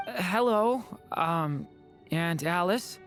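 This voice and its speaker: Shy game boy, lowish for her, slow and hesitant, really polite. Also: animated, over loud music. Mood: hesitant, puzzled. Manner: hesitant, polite, soft-spoken. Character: shy, gentle young dragon boy, earnest and unsure. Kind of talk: video game character acting.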